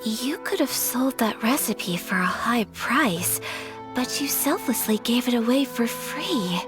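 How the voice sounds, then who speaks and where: A woman voicing a gentle, refined young noblewoman who speaks formally and politely in a game cutscene. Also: a little high, expressive, a little slow. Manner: formal, polite and soft-spoken. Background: game music.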